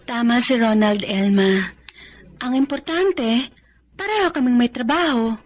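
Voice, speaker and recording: Gentle devoted wife and mom, high voice, polite and earnest, radio drama. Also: female voice, measured pace. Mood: contented, sure.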